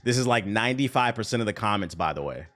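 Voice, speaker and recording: A man's voice: on the low side, expressive, fast talker, a bit bright. A young man livestreaming, joking loosely through reaction commentary and muttering asides under his breath. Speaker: streamer. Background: faint background music.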